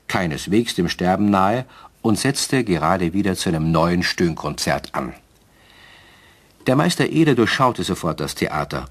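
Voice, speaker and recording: A man narrating a scripted children's story as a storyteller, with a husky voice. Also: sits low.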